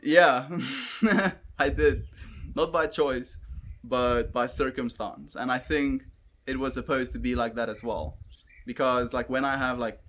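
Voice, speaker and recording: A young South African man chatting on a live stream outdoors, earnest and gentle, calling his viewers friend. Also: medium pitch, unhurried, a little hiss or hum. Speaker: livestreamer. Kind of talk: casual live stream chat reading comments. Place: outdoors, wind and cows nearby.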